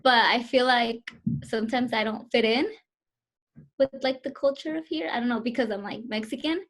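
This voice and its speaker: A woman's voice: a little high, moderate pace. A woman in her twenties answering interview questions over a video call, hesitant and full of fillers. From Southern California, US. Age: 20s.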